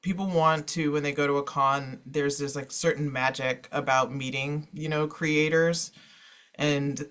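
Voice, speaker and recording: Higher-voiced man, halting casual panelist. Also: fairly even intonation, a bit bright. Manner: halting, circling, full of 'you know' and 'like'.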